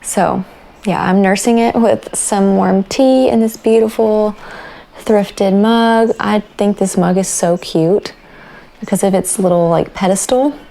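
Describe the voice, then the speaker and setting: A young woman hosting a knitting podcast, chatting casually and relaxed, her voice raspy after a night of coughing. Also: mid-range voice, measured pace. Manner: chatty and relaxed, with fillers and small laughs. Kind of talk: casual chat about crafts.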